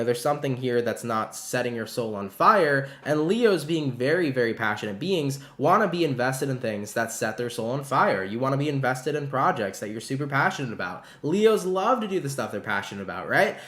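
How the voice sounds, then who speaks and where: A man's voice: medium pitch, a bit bright. Stuffy-nosed man reading tarot, roomy sound. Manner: chatty and encouraging, calls viewers "baby". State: stuffy nose from allergies. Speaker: tarot reader. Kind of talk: casual tarot reading to viewers.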